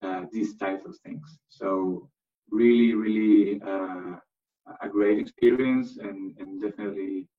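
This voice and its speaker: A young man from Chile talks slowly in a low, level voice, stopping often on fillers and restarts. He sounds a little distant and dull, as if picked up by a lo-fi mic set back from him. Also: steady tone. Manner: hesitant, many fillers and restarts. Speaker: interview guest, MBA graduate. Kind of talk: remote interview answers.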